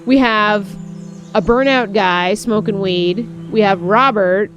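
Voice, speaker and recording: A woman's voice: mid-range voice, slow, fairly bright tone, muffled recording. A woman giving casual, rambling, slangy game commentary as she streams a playthrough, with some swearing. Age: adult. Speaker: streamer playing a visual novel. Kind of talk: casual playthrough commentary, reading dialogue aloud. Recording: music playing behind the voice. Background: music.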